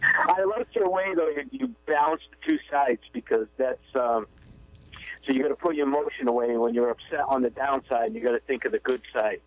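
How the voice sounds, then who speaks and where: A man's voice: mid-range voice, fairly quick, a little hiss or hum. Easygoing man on a dull, muffled line. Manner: easygoing, agreeable, short replies. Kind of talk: casual chat.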